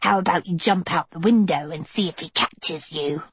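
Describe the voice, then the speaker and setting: A woman's voice: fairly low pitch, moderate pace, husky, no echo. A woman voicing a creepy, menacing teenage witch girl in a storybook, with a cold, wheezing, mocking manner.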